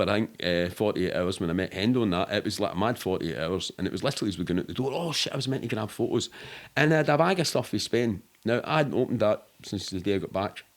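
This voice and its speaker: A middle-aged man with a Glaswegian Scottish accent, telling anecdotes casually in a candid, rambling, sweary way. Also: low voice. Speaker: podcast guest.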